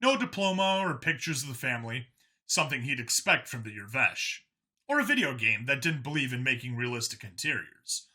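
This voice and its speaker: Bright, forceful male story narrator. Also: medium pitch, big pitch swings, brisk. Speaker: audiobook narrator.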